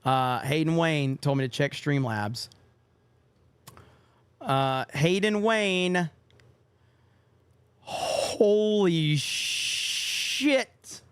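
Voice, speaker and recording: Dude hosting a stream, slowish, kinda high voice, dry close sound, reads donations and swears a bit. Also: male voice, fairly bright tone, smooth, no room sound. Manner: reads viewer donations aloud, swears casually. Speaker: livestream podcast host. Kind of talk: casual livestream chat.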